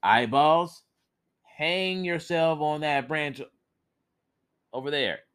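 A man's voice: on the high side, takes it slowly, fairly bright tone, smooth, pitched above the performer's own voice. A man voicing a boastful, foolish man from a folktale who turns whiny and pleading.

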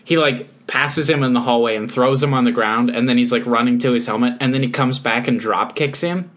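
A man co-hosts a podcast in relaxed, casual conversation. He speaks in an easygoing way, often pausing on "um" before he carries on. His pitch is in the middle range for a man. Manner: easygoing, halting with ums. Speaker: podcast co-host. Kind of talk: casual chat.

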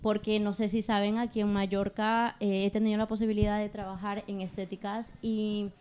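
A woman's voice: mid-range voice, little pitch movement, normal speed, darkish tone, smooth. A Peruvian woman in her thirties with a Peruvian Spanish accent, a manicurist guest talking earnestly and gratefully on a radio chat show. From Peru. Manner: earnest, grateful, laughs easily.

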